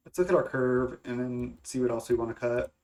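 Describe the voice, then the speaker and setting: A man's voice: medium pitch, fairly quick, a little mellow. Congested young man thinking aloud. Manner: thinking aloud, hesitant with many fillers and restarts. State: congested, stuffy nose. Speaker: gaming content creator. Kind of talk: casual play-by-play of a card game.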